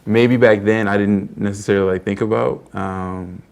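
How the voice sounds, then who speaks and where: Deep-voiced rambling male talk host. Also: steady tone, normal speed, creaky, a little mellow. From United States. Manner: rambling, many fillers like "you know" and "like". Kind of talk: casual interview chat.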